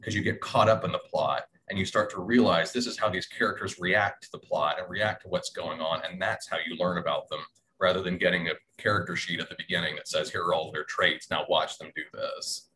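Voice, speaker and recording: Male, middle-aged, low register, reflective panel talk, faint noise on a video-call line. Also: fairly even intonation, a little fast, sharp tone. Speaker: panelist, audio drama writer. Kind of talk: unscripted panel discussion. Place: small room at home, indoors. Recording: noisy video-call audio.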